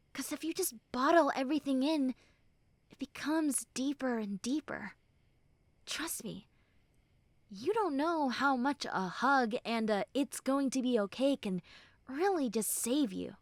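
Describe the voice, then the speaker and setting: High-voiced, slow-talking tender tsundere girl played by a woman. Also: dry recording. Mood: earnest, reassuring. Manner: earnest, hesitant, soft, often dropping to breathy near-whispers. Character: tsundere young woman, gruff friend turned tender and vulnerable comforter. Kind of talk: intimate roleplay audio acting.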